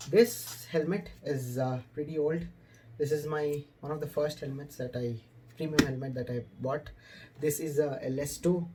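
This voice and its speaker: A man's voice: on the high side, steady tone, moderate pace, heavy background noise. A young man with an Indian accent talking casually to camera as a motorcycle vlogger, explaining things informally, recorded indoors in a room. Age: young adult. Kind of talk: casual vlog talk to camera.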